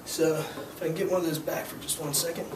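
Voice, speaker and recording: A hoarse young man teaching a scuba class, explaining gear and skills in a casual, filler-heavy way. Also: fairly high pitch, flat delivery, fairly quick. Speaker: scuba instructor. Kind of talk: hands-on demonstration to a class. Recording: echoey room, distant mic, noisy.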